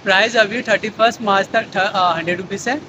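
Male ride operator, 30s, matter-of-fact, high-pitched; noisy outdoor recording. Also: steady tone, fast. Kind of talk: answering interview questions. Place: outdoor mall walkway at night. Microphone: handheld foam mic.

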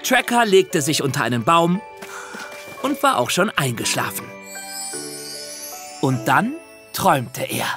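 Female storyteller for children's audio tale, low register, very varied intonation, music underneath. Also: big pitch swings, a little slow, pauses often. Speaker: narrator.

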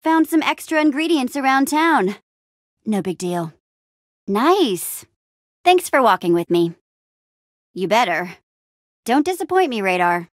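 An upbeat young female adventurer from a video game. Her voice sits fairly high and swings expressively through its range, recorded close and dry. She talks in a friendly, casual, quippy way, like a small-town hero. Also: sing-song, a little slow, pauses often, no room sound. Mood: cheerful, friendly, then reassuring. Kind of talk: video game character acting.

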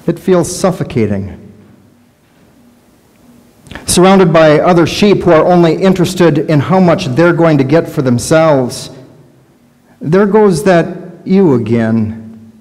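A man's voice: on the high side, animated, slow, pauses often, a little mellow. A middle-aged man voicing a skittish, fearful sheep in a first-person sermon monologue, recorded in a large church sanctuary. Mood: anxious. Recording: long church reverb.

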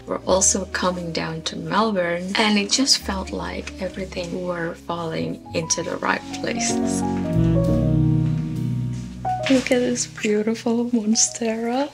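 A woman's voice: lowish voice, creaky. A woman in her thirties chatting cheerfully to a handheld camera in her home, recapping a party. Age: 30s. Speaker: vlogger. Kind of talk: casual vlog talk to camera. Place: home living room with plants, indoors. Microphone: handheld camera at close range. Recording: background music under voice.

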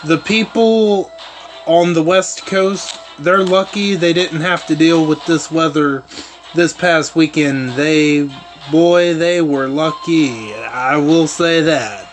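Slow-talking man, slightly hoarse. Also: on the high side. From eastern United States. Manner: rambling, laid-back storytelling. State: losing his voice, clearing throat. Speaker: gaming channel host. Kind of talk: casual chat over gameplay. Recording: game music loud behind voice.

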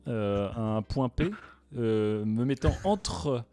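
A man playing a tabletop game, speaking in a measured, mock-tactical way into a headset mic. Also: mid-range voice, lively intonation, dark tone, faint music behind. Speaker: player.

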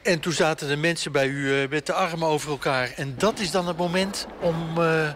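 Breezy radio reporter in a bowling alley. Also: male voice, on the high side, fairly quick. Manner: breezy, joking questions. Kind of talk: on-location radio interview. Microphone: handheld mic. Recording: on-location radio report, background noise. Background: bowling alley ambience.

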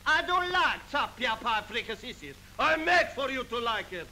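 A man's voice: very high-pitched, sing-song, moderate pace, background noise. A man voicing a childish, grumpy two-headed giant in a 1930s cartoon, with mock-foreign broken English. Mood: grumbling, bickering. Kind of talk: 1930s theatrical cartoon voice acting. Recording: old film soundtrack with music behind.

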